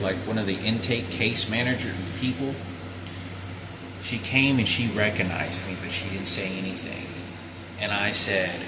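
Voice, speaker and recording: A man's voice: on the low side, background noise. A man in his thirties telling a long personal story, repeating himself and often restarting and correcting his sentences. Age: 30s. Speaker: vlogger. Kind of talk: rambling personal account to camera.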